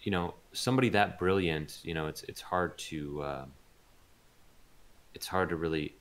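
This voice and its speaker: A man chatting casually as a voice actor being interviewed, thoughtful and halting as he searches for words. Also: low voice.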